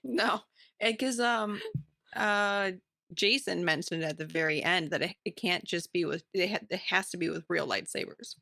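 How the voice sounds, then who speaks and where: A woman's voice: lowish voice, normal speed, vocal fry, bright, forceful tone, dry recording, clean studio sound. A woman co-hosting a podcast, talking casually and with enthusiasm about what she reads. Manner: informal, enthusiastic. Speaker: podcast co-host. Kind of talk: casual book-club chat.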